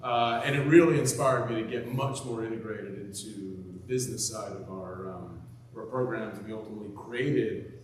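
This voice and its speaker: A man speaking on a panel, with a loud voice, a casual manner and self-deprecating asides. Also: fairly low pitch, steady tone, moderate pace, a little hiss or hum. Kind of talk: conversational panel talk. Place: event hall at a hospital. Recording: echoey room, far from mic.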